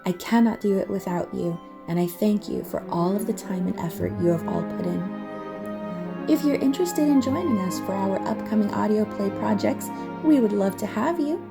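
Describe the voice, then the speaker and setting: A woman with a husky voice reading scripted audio drama narration as the narrator and host. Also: medium pitch.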